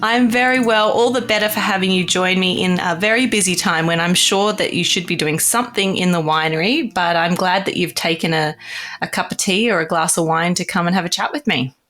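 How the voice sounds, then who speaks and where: A New woman hosting a podcast interview, friendly and quick to laugh. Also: on the low side, faint music behind. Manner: warm, laughs easily. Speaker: podcast host.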